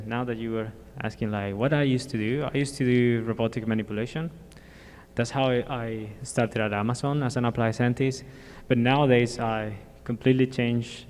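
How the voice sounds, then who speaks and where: A man from Colombia speaking as a panelist at a conference, explaining his work plainly with some halting fillers. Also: lowish voice, darkish tone. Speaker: panelist, applied scientist. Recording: hall reverb with faint ambient noise.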